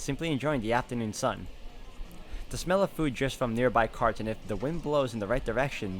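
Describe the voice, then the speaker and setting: A man hosting a game walkthrough, commenting and reading visual novel narration aloud. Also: mid-range voice. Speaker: gaming walkthrough host. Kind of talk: let's play commentary and read-aloud narration. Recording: game music clearly behind the voice.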